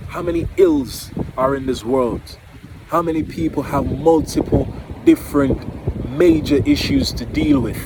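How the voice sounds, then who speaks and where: A man with a Jamaican accent giving an earnest, emphatic, informal motivational talk outdoors, repeating key phrases. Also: mid-range voice. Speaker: motivational vlogger. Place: outdoors near home by the water. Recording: very noisy outdoors, wind on the microphone.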